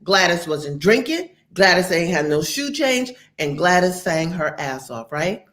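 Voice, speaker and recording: A woman, chatting candidly as a podcast co-host and acting out quotes. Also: unhurried. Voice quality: deep voice. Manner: candid, animated storytelling with acted quotes. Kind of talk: casual opinion chat.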